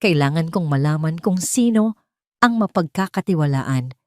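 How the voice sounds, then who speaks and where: A woman with a Filipino accent narrating a dramatic first-person story in an expressive, scripted storytelling manner. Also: deep voice, moderate pace, no room sound, studio quality.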